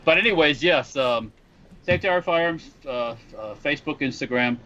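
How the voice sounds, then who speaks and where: A man's voice: fairly high pitch, a little fast, bright, forceful tone. A man speaking casually as a podcast guest, plugging his business. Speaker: podcast guest, firearms maker. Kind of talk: casual plug with chat. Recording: dull lo-fi audio, faint background noise.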